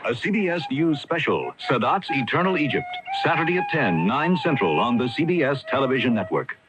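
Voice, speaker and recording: Male promo announcer, mid-pitched scripted read, clearly noisy old radio recording. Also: very animated, a little fast.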